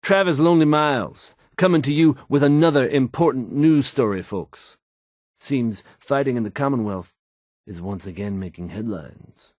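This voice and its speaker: An actor playing a confident radio DJ who reads post-apocalyptic news bulletins in an assured, measured, folksy announcer manner. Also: male voice, medium pitch, darkish tone, no room sound, studio quality, close to an everyday voice. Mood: assured, urgent. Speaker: radio DJ and newsreader.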